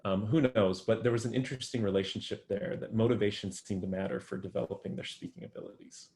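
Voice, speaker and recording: Low-pitched male academic, thoughtful, hesitant delivery with frequent fillers. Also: steady tone, fast, darkish tone. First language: English. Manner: thoughtful, many ums and restarts. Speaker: guest researcher. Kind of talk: academic interview answers.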